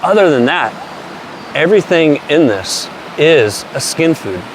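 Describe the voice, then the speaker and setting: A man's voice: a little high, sing-song, unhurried, slight background noise, poor audio. A man in his late forties casually reviewing shaving products, enthusiastic and plainspoken, with some mild swearing. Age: 40s. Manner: enthusiastic, plainspoken, mildly profane.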